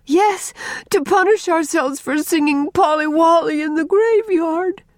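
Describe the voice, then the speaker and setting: A woman's voice: very high-pitched, measured pace, darkish tone, no echo, studio quality, pitched above the performer's own voice. A woman voicing an earnest young girl, breathless and pleading, in a storybook reading. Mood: hysterical relief. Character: earnest, remorseful young girl, eldest sister explaining herself.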